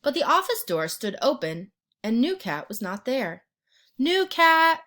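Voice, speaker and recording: Expressive woman reading a children's story. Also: medium pitch. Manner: pauses to ask the listener questions and point out pictures. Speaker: read-aloud storyteller. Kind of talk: expressive children's picture book narration.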